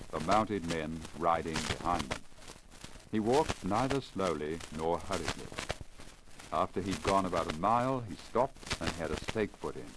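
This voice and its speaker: An old, crackly disc recording from 1950 carries a man's low-pitched voice. He narrates a literary story in period radio style, formal and measured, with careful enunciation. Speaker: story reader, radio broadcaster. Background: surface crackle and noise.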